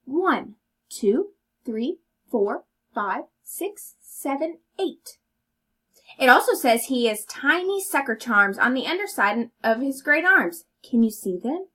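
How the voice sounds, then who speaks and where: A woman's voice: sits high, big pitch swings, slow pace, fairly bright tone, husky. A woman reading a children's story aloud and teaching as she goes, explaining words and asking questions. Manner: instructive, inviting listeners to think.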